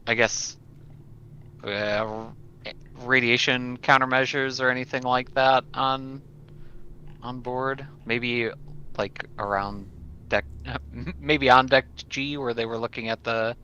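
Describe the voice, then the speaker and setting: A man's voice: mid-range voice, fairly even intonation, slow, pushed and bright, smooth, muffled recording. A man playing a tabletop roleplaying game, talking through plans casually with hesitant, trailing phrasing.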